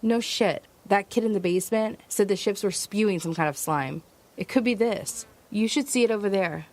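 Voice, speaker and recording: A woman's voice: mid-range voice, normal speed, darkish tone, close to an everyday voice. An actress playing a resourceful, stubborn woman survivor in an audio drama, narrating and speaking in a terse, matter-of-fact way. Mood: insistent, irritated. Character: resourceful, stubborn female survivor traveling west. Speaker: lead actor and first-person narrator. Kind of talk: audio drama acting with first-person narration.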